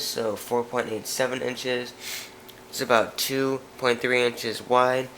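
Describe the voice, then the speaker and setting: A man's voice: mid-range voice, fairly bright tone, slight background noise. Stuffy-nosed man reading phone specs. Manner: chatty, reads specs, frequent self-corrections. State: stuffy nose, sniffling. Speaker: tech video host. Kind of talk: casual product walkthrough.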